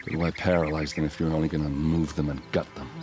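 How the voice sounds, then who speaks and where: A man's voice: rumbling low, flat delivery, fast. A man acting as a blunt, grieving soldier in a video game, with an emotionally raw delivery. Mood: grim, puzzled.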